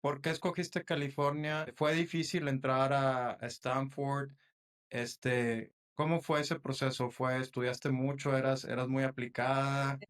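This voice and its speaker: A man's voice: mid-range voice, measured pace, clear, resonant tone. Male podcast host, mid-range voice; scripted intro, then loose conversational questions. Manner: polished scripted opening, then relaxed questions with filler words. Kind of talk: podcast interview.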